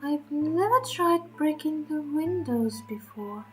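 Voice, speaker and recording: A woman's voice: high-pitched, animated, takes it slowly, a bit bright, faint music behind, voice pushed up, exaggerated voice, low-quality recording. A woman voicing a befuddled, timid young woman in a visual novel, hesitant and questioning.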